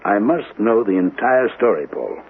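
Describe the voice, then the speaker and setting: An actor playing a thoughtful, sardonic husband in a radio mystery, measured and pointed. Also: male voice, on the low side, expressive, close to an everyday voice. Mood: pensive, insistent. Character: thoughtful, wry husband with an old family grudge. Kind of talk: radio mystery drama acting. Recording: muffled old radio broadcast, faint noise.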